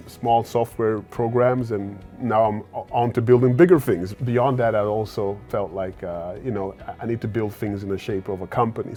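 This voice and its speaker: A man in his forties from Sweden, a startup founder speaking at a fireside chat interview, earnest and often pausing on "uh" and repeated words as he thinks. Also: lowish voice, dark tone. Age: 40s. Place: event stage at a fireside chat.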